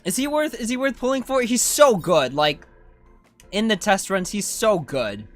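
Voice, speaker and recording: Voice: mid-range voice, animated, moderate pace, faint music behind. A game streamer giving casual live commentary in an informal, self-questioning way. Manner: informal, self-questioning, often ends with "right?".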